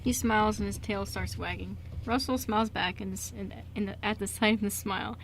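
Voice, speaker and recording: Creaky-voiced woman narrating a radio play. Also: medium pitch, fairly even intonation, fairly quick, a little hiss or hum, low-quality recording.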